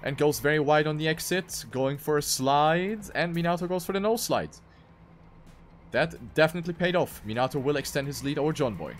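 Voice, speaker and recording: Higher-voiced man commentating a racing game. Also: expressive, a little fast, soft background music. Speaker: esports commentator.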